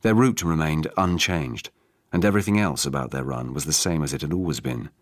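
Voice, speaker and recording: Male audiobook narrator, extremely low voice, dry close sound, measured and restrained storytelling. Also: no room sound. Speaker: audiobook narrator reading an abridged novel for radio. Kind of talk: scripted literary narration.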